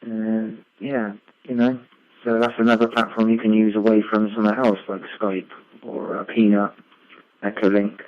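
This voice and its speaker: A man chatting casually as an amateur radio operator, rambling along with frequent tag questions over a radio link. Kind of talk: casual ham radio chat. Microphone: radio link played through a computer. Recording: muffled low-fi digital radio link.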